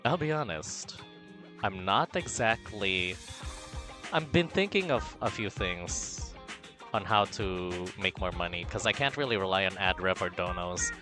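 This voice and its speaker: A man from the Philippines chatting casually on a livestream, reading chat aloud in a campy, animated way, drifting between quiet mumbles and loud bursts of excitement. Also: sits low, measured pace, over music. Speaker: streamer.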